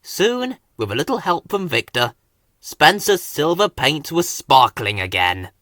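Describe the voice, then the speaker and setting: A man narrating a children's story in an expressive read-aloud style. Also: a little high, unhurried, no room sound, studio quality.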